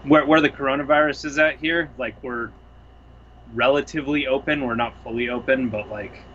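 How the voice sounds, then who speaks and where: Bright-voiced young man, rambling chat. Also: medium pitch, steady tone, moderate pace, lo-fi sound, a little hiss or hum. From Seattle area, US Pacific Northwest. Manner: rambling, thoughtful, full of fillers. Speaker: guest, music producer. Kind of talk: casual chat. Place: home, on a couch.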